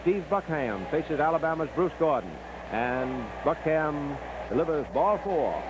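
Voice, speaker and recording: A man's voice: on the high side, animated, a little slow, noisy background. A man narrating baseball play-by-play in 1950s newsreel style, on an old recording.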